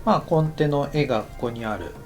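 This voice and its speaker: A man giving a casual, explanatory tutorial as an anime director, thinking aloud in short halting phrases. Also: medium pitch, expressive, a little mellow, faint music behind. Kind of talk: instructional lesson narration, casual and explanatory.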